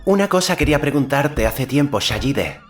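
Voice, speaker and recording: A man's voice: mid-range voice, sing-song, normal speed, faint music behind, voice pushed up, natural voice. A man voicing a curious, earnest young boy hero in an audiobook story. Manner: inquisitive, earnest.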